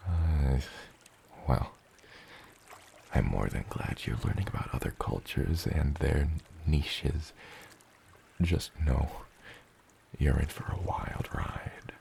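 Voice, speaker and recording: A man voicing a gentle, doting father dragon in an ASMR roleplay, murmuring slowly and tenderly and often dropping to a whisper. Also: expressive, a little hiss or hum, full character voice. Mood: playful, teasing. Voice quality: very deep, gravelly. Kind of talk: ASMR roleplay, addressing the listener as his child.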